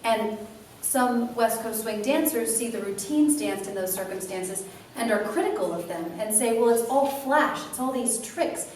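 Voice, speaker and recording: A woman co-hosting a talk about dance before a live audience, explaining in a steady way. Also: fairly high pitch, normal speed, very echoey, recorded from a distance, a little hiss or hum, rough recording. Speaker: dance instructor and show co-host. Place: event room with a live audience.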